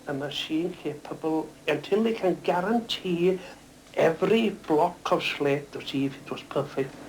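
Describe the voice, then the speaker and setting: A man's voice: fairly high pitch, unhurried, noisy background. A Welsh man, a former quarryman whose first language is Welsh, speaking earnestly in an interview. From Wales. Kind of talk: interview answers.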